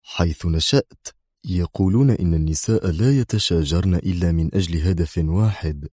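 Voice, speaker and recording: A man voicing a calm, wryly observant driver in an audio drama, placid and unhurried. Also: low-pitched, slightly rough, dry recording, studio quality.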